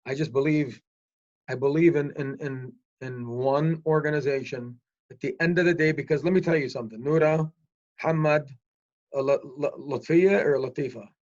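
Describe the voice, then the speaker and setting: An older man speaking forcefully in a panel discussion, repeating himself to press his point. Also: moderate pace. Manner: forceful, repetitive opinionated talk. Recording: muffled low-fidelity recording.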